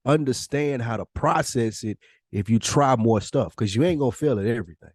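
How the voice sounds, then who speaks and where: A man's voice: mid-range voice, fairly quick, a little mellow, no echo. A man in casual podcast conversation, speaking bluntly with slang, swearing and emphatic repetition. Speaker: podcast co-host.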